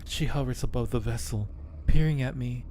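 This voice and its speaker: A man's voice: medium pitch, moderate pace, dark tone, slight background noise, natural voice. A man voicing a lovestruck undead acolyte from a horror game, narrating with earnest, brooding resignation. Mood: hushed awe. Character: devoted undead cave acolyte, fatalistic and lovestruck. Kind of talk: dramatic reading of game narration. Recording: music behind the voice.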